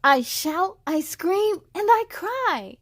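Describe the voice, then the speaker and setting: Woman reading as an easily angered little girl, high-voiced. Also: very animated, slow pace, slight background noise, full character voice. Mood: mad, upset. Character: young girl storybook narrator, easily angered big sister.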